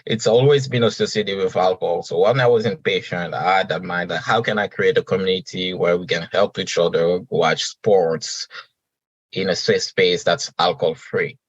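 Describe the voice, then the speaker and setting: A man from Senegal talking through his story in an unhurried way, pausing and restarting as he goes. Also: sits low, steady tone, no room sound, lo-fi sound. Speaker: podcast host and guest. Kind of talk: conversational interview answers.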